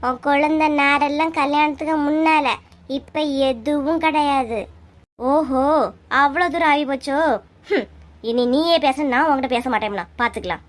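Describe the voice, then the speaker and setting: A woman puts on a feisty village woman for cartoon comedy. Her voice is very high, her manner sarcastic and quick to take offence, her accent Kanyakumari Tamil. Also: lightly acted. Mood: angry, sarcastic. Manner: scolding, sarcastic.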